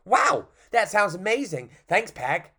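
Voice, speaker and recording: A man's voice: high voice, animated, slow, voice pushed up. A man voicing an eager, naive young wooden puppet boy in a children's story. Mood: excited.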